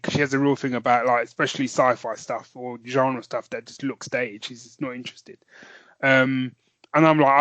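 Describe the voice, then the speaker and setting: A man's voice: medium pitch, a bit bright, no room sound, poor audio. A man co-hosting a podcast, musing hesitantly and trailing off mid-thought. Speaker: podcast co-host. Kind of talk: casual chat.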